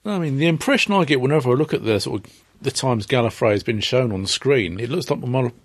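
A man co-hosting a fan commentary, quietly chiming in with observations. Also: medium pitch, animated, fast, no echo, clean studio sound. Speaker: podcast co-host. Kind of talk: casual commentary chat.

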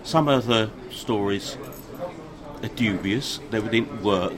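Enthusiastic fan amid crowd noise. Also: male voice, fairly low pitch. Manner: enthusiastic, a little halting. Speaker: interviewed fan. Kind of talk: casual vox pop chat. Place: busy shopping venue. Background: crowd chatter.

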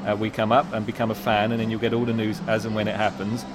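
A man's voice: on the low side, level tone, fast talker. A man managing a bookshop, talking politely and a little hesitantly in a casual interview. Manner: polite, slightly hesitant with fillers. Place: busy shopping centre store. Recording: noisy public space.

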